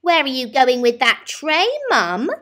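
Woman as a high-voiced, insistent girl elephant. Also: expressive, unhurried, a bit bright. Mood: curious. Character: inquisitive, indignant young girl elephant.

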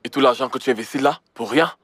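A man's voice: medium pitch, fast talker, a bit bright, dry recording, natural voice. An actor dubbing a joking male friend who teases and needles. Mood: teasing. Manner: teasing, laughs. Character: teasing male friend, jokey.

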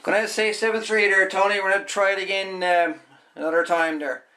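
A man's voice: sits high, little pitch movement, brisk, bright, forceful tone. A man working as an amateur radio operator, talking casually and informally with spelled-out phonetic call signs. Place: indoors at a home radio desk.

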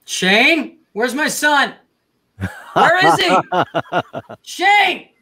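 A man's voice: very high voice, very animated, pushed and bright. A man hosting a comedic video-call show, joking, protesting and laughing easily. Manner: playful, protesting, quick to laugh. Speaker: host.

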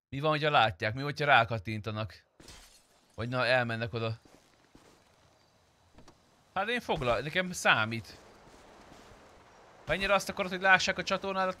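A man's voice: on the high side, long pauses, pushed and bright. A man chatting casually while streaming gameplay, speaking bluntly and swearing freely. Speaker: game streamer. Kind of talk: casual gameplay chat and roleplay commentary.

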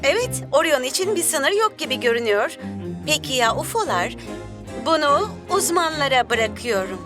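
A woman's voice: high-pitched, sing-song, normal speed, music in the background. A woman voicing an inquisitive TV sports reporter in a cartoon dub. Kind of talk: dubbed cartoon news reporting.

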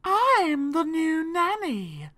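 A polite, fretful female spider nanny from a children's storybook, acted by a man in a very high, bright voice. She is huge but genteel, and takes her words slowly. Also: wildly swooping pitch, exaggerated voice. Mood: genteel greeting.

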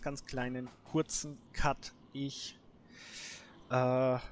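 A man hosts a casual solo let's play. His mid-pitched voice mutters short remarks to himself as he goes. The recording has clear background noise with clicks. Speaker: gaming video host.

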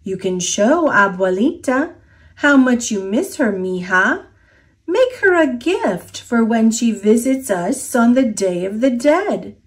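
Woman reading as a gentle, slow-spoken old grandfather. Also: a little high, sing-song. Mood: tender. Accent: American.

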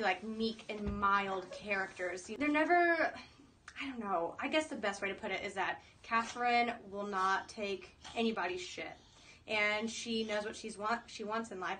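A woman's voice: mid-range voice, pushed and bright, slight background noise. A woman in her twenties being interviewed as an actress, chatting casually in a small room with a distant microphone. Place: small indoor room, seated in armchairs.

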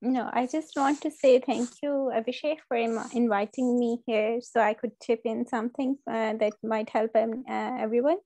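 A woman's voice: on the high side, steady tone, normal speed, few pauses, dark tone, dry recording, poor audio. A woman, a former student invited as a guest, giving brief, hesitant thanks on a video call with many fillers.

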